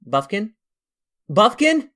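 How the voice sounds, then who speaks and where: A man's voice: sits high, big pitch swings, slow pace, sharp tone, full character voice. A man voicing a harried, flustered young office assistant. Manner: flustered, exasperated.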